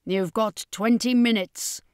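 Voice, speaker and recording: A woman's voice: a little high, steady tone, takes it slowly, smooth, dry recording, studio quality, pitched above the performer's own voice. A woman playing a commanding circus master. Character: commanding male circus master, resolute vampire hunter.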